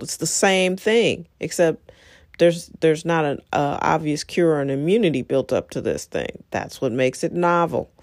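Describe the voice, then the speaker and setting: A woman's voice: low-pitched, a little mellow, no echo, clean studio sound. A middle-aged woman with a slightly scratchy voice hosting a casual solo talk, encouraging and conversational, wandering into asides. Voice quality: a little scratchy. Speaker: show host.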